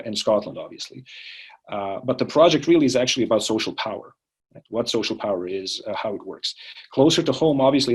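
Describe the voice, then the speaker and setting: A man's voice: on the low side, breathy edge. A man from Croatia, an academic answering interview questions thoughtfully, with many fillers and restarts. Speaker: interviewee, political science academic.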